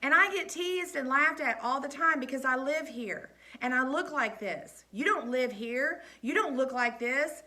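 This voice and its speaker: A desperate teenage boy from a storybook, his voice cracking as he pleads and snaps back. A woman reads him out high and bright, pushing hard through every word. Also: lively intonation, measured pace, hardly any pauses, exaggerated voice. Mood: tearful. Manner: pleading, voice breaking.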